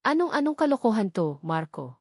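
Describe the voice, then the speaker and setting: A woman's voice: on the high side, sing-song, brisk, dry recording, studio quality. A woman voicing a spoiled young heiress in a drama story, furious, snarling and full of contempt. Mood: outraged disbelief.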